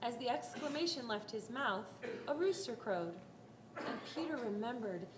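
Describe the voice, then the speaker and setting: A woman's voice: fairly high pitch, expressive, normal speed. A woman narrating a scripted dramatic reading of a Bible story. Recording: roomy echo, faint background noise.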